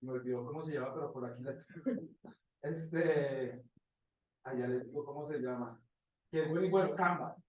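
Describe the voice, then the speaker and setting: Guy teaching a class, kinda high voice, super echoey room, mic far away. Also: moderate pace, long pauses, phone-quality sound. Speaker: motion graphics teacher. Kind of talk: informal lecture to a class. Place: indoor classroom. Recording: echoey classroom, distant microphone.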